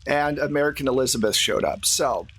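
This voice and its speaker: Joking male game master, mid pitch. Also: fairly quick, fairly bright tone, breathy edge, rough recording. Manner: joking, teasing. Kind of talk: casual tabletop game narration.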